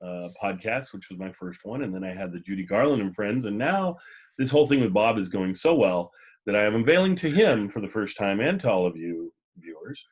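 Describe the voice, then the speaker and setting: A man hosting a casual podcast, chatting in a rambling, jokey way with a husky voice. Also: fairly low pitch, expressive, brisk. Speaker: podcast host.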